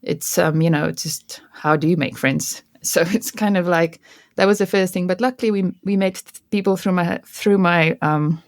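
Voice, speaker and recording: Brief: South African woman guest, Afrikaans native, chatty with stumbles, low fry-edged voice. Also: normal speed, no room sound, studio quality. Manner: chatty, restarts often, laughs easily. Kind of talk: casual interview chat.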